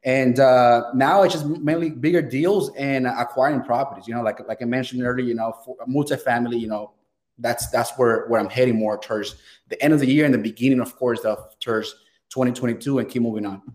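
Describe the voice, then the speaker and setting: A young man with a Venezuelan Spanish accent talks eagerly and casually as a podcast guest. He often restarts and repeats his words as he goes, at an ordinary, middle pitch. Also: steady tone, fairly quick, darkish tone. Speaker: guest, real estate sales professional.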